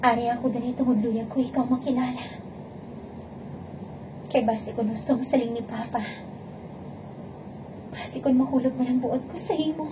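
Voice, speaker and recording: A woman's voice: on the high side, steady tone, normal speed, pauses often, background noise, lightly acted. A woman playing an earnest, principled young bride-to-be in a radio romance drama, with a Hiligaynon Filipino accent. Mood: earnest, calm.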